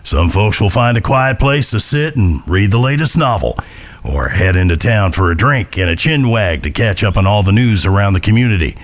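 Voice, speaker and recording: A man's voice: lowish voice, expressive, moderate pace, natural voice. A man playing a grave, wry Old West storyteller, narrating an audio drama in a folksy voice. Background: guitar music.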